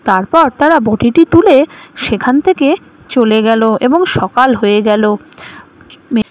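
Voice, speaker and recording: High-voiced woman narrating a folktale. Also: slow. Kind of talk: scripted storybook narration.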